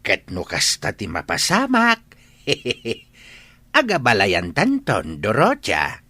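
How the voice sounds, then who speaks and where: A man playing a jolly, obliging village neighbour in a radio drama, animated and reassuring. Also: fairly low pitch, slow pace, dry recording, heavily acted. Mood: musing. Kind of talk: Ilocano radio drama acting.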